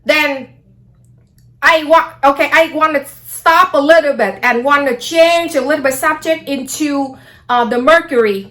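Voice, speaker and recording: Bright, high woman. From Vietnam. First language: Vietnamese. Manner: rambling, frequent "okay?" and "blah, blah" asides. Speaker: astrology vlogger. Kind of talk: casual astrology talk.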